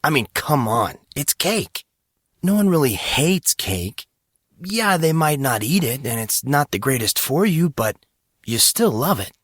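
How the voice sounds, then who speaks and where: Man acting a playful young guy, lifted pitch. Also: sing-song, measured pace, a bit bright, slightly rough, dry recording, studio quality, exaggerated voice. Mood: playful, earnest. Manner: casual, teasing, playful. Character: joking, tender young man comforting a girl.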